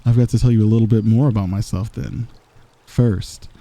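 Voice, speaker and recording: A man voicing a smug, flirtatious villain in a soft, intimate, teasing ASMR roleplay, close to the microphone. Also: on the low side, fairly even intonation, brisk, natural voice. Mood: confessing, playful.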